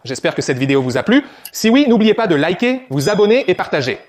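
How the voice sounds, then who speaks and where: A man's voice: high-pitched, sing-song, fast talker, faint music behind. A man coaching viewers through a back exercise, giving direct step-by-step instructions on form. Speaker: fitness coach.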